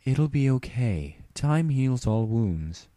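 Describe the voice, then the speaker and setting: A man's voice: mid-range voice, sing-song, slow, mellow, dry recording, pitched above the performer's own voice. A man voicing a serene female pony princess in a storybook, a caring and playful older sister. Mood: soothing.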